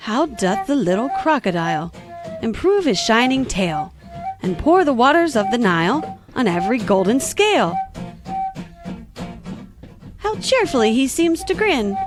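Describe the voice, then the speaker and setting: Earnest, chatty little girl, voiced by a woman; high and sing-song. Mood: reciting. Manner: chattery, earnest, easily flustered. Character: curious, polite little girl. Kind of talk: audio drama acting.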